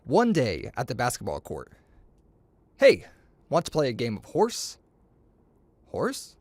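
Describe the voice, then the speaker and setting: A man reading a children's story aloud, acting out the dialogue in an expressive, playful, boastful way. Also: medium pitch, slow, dry recording.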